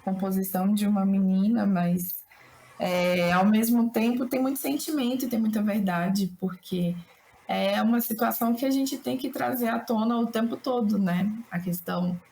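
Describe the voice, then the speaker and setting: Talkative woman guest, Brasília Brazilian accent, hoarse with a cold, mid-range voice, musing and discursive in casual conversation. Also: mid-range voice, normal speed, dark tone. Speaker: singer-songwriter, podcast guest. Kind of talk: casual reflective interview chat.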